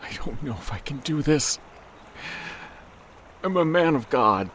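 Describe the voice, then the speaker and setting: A man's voice: a little high, expressive, measured pace, a bit bright, a little hiss or hum. A man playing an anxious, guilt-ridden man of God in an audio drama, hesitant and stammering. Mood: anxious, doubtful.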